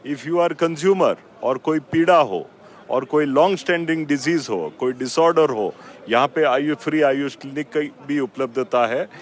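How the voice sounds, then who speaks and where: A man with an Indian accent giving formal, earnest promotional remarks as an interview guest, switching between Hindi and English in deliberate phrases. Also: fairly high pitch. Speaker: foundation trustee and company managing director, interview guest. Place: busy expo grounds.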